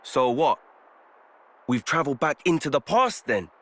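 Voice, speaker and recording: An actor playing an earnest, inquisitive young fighter in a video game cutscene, blunt and questioning. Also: male voice, on the high side, sing-song, moderate pace, a bit bright. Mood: puzzled.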